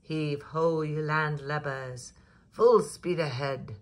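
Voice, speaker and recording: A woman voicing a playful, eager little girl in a children's storybook, excitable and sing-song in rhyme. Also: low voice, slow pace. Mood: excited. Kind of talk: children's storybook read-aloud.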